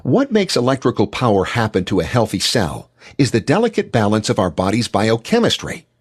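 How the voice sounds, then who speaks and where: Husky man narrating with lively, swooping pitch. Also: fairly quick. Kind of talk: scripted explanatory narration.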